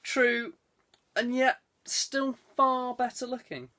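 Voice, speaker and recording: A man's voice: extremely high pitch, flat delivery, slow pace, sharp tone, pitched above the performer's own voice, full character voice. A man voicing a jaunty, playfully posh ex-cadet saboteur with breezy banter in an audiobook.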